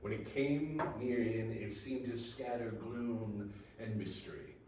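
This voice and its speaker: A man narrating a story for readers theater, dramatically, with deliberate pauses between phrases. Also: on the low side, darkish tone. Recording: very echoey room, distant mic, faint noise.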